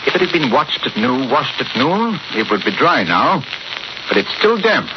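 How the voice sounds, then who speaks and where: An actor playing a shrewd, self-assured British detective in a 1940s radio drama, courteous and given to pompous lecturing. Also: male voice, mid-range voice, big pitch swings, moderate pace, close to an everyday voice. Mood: probing. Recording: muffled, very noisy 1940s radio recording.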